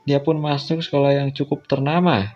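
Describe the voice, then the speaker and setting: A man's voice: mid-range voice, level tone, normal speed, darkish tone. A man with an Indonesian accent reads a visual novel aloud as a casual playthrough, dropping in offhand asides. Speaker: video game player reading a visual novel aloud. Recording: dull lo-fi mic, music behind.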